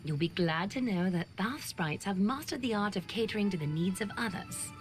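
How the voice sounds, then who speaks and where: A woman playing a composed, courteous and attentive hostess in a video game, with formal, measured phrasing. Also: mid-range voice, lively intonation, over music, lightly acted. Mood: composed, solicitous.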